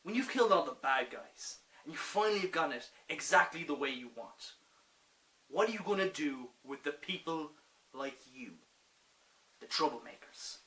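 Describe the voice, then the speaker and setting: An actor playing an insistent, sarcastic peacemaker in a dramatic monologue, full of rhetorical questions. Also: male voice, fairly high pitch, expressive, normal speed, pushed and bright, poor audio. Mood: mocking, impassioned. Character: impassioned, pleading peacemaker challenging a rebel with sarcasm.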